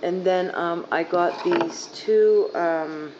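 A young woman with a hoarse, fading voice rambling casually through a makeup haul, full of fillers, filmed on a phone on her living room couch. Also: fairly low pitch, slow pace. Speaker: beauty vlogger. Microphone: phone camera at arm's length. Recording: dull lo-fi phone audio, faint hiss.

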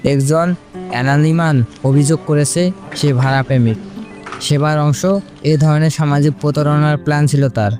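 A man's voice: a little high, steady tone, moderate pace, a little mellow, faint music behind, natural voice. A man playing a stern office manager in an audio drama, speaking in a formal, official manner.

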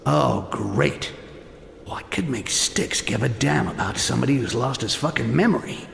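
An actor playing a cynical, foul-mouthed goblin rogue in a video game, with a gravelly, sardonic mutter. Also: male voice, medium pitch, lively intonation, normal speed, a little hiss or hum. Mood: wry, scheming. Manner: muttering to himself, sardonic, swearing. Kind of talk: dramatic video game acting.

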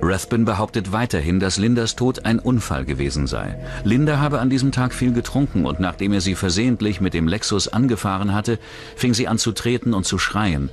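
A man narrating a scripted true-crime documentary in a measured, dramatic manner. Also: fairly low pitch, lively intonation, soft background music.

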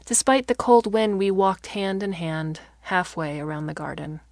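Female fiction narrator, lowish voice, expressive, often breathy, dry studio-like sound. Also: normal speed, no echo. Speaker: story narrator. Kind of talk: dramatic audiobook narration of fiction.

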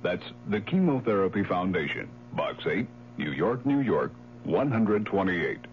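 Man, public service announcer, lively intonation, emphatic, scripted, dull old radio sound, faint hum. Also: deep voice, a little slow.

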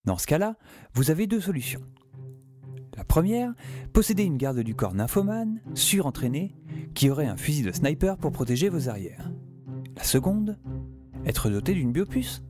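A man's voice: fairly high pitch, big pitch swings, normal speed, long pauses, over music. An actor playing a sarcastic, geeky young male scientist in an audio drama, wryly telling his adventure and rambling. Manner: wry, rambling, talks to the listener. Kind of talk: audio drama narration and dialogue.

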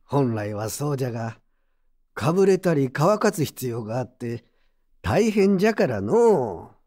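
An actor as a gruff, kindly old craftsman. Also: mid-range voice, sing-song, a little slow, a little mellow. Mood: kindly, reflective. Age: older. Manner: brusque old-man speech. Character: gruff kindly old male pottery mender. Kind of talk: Japanese audio drama acting.

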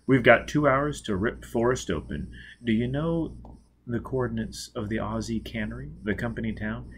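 A man's voice: medium pitch, moderate pace, a little hiss or hum, close to an everyday voice. A man playing a coldly driven, vengeful man in an audiobook, giving terse commands. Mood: grimly determined.

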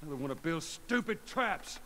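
An actor playing a gruff, weary, protective middle-aged male survivor in a video game drama. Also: fairly high pitch, expressive, normal speed. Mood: tense, frustrated. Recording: loud game noise around the voice.

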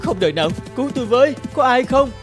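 Frightened high-voiced young goddess. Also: female voice, lively intonation, quick pace, loud music behind the voice. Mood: terrified. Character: frightened, defiant young goddess held captive. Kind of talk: cartoon fairy tale dubbing.

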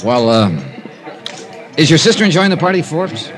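A man's voice: a little high, very animated, moderate pace, a crowd in the background. An American actor playing a wry, hard-boiled male investigator who also narrates, in a 1950s radio drama. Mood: casual, curious. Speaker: lead actor and narrator of a detective series. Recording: 1950s radio broadcast with music.

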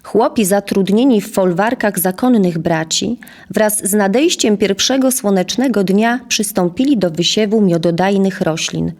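A woman narrating a scripted audio drama as storyteller, in a measured, literary manner. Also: mid-range voice, darkish tone.

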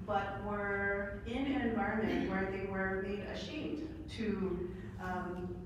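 Woman in her 40s on a panel, earnest, really echoey room, mic far away, hum behind. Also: medium pitch. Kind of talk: panel discussion talk. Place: large hotel meeting room.

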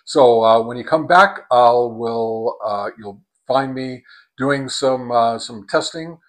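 A man's voice: mid-range voice, takes it slowly, no echo. A man in his sixties rambling casually through a hands-on ham radio review, heavy on fillers, recorded on a camera held at arm's length. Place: home radio shack, then outdoors in a park, then in a truck. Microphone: handheld camera at arm's length.

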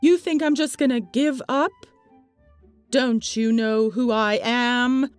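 A woman's voice: on the high side, takes it slowly, dry recording, soft background music. A woman voicing a playful, dim female dog in a comic dub, theatrical and teasing. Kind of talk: comic dub character acting.

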